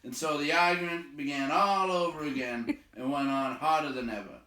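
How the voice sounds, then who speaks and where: A man reading a fantasy story aloud as an expressive storyteller. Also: a little high, moderate pace, echoey room, rough recording.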